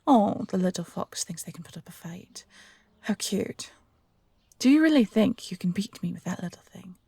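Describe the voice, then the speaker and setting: A woman voicing a smug, menacing bounty hunter in an audio roleplay, taunting and condescending in an unhurried way. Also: mid-range voice, lively intonation, gravelly, mellow, slightly rough, no echo, studio quality, full character voice. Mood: mocking.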